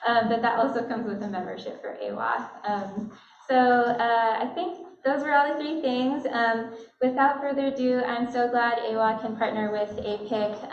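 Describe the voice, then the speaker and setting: A woman from an arts organization welcomes guests at a gallery opening, chatting casually with many fillers. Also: on the high side. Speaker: arts organization staff member introducing the event. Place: gallery opening reception, indoors. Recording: echoey, distant, dull sound with a crowd behind. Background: crowd of guests.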